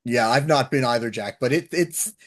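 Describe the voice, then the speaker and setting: A man hosting a casual interview, enthusiastic and effusive, with a husky voice. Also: mid-range voice, normal speed. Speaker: interviewer, host.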